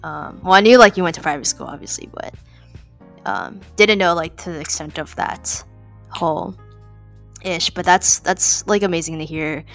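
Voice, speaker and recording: Young woman podcast host, kinda low voice, rambly um-filled chat, soft music behind. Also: normal speed, vocal fry. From California. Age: young adult. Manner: chatty, many ums and likes, laughs between phrases. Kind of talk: casual interview chat.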